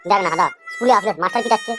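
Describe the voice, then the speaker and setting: A woman's voice: mid-range voice, very fast, faint music behind, close to an everyday voice. A woman voicing a chatty, grumbling schoolboy in a comic cartoon.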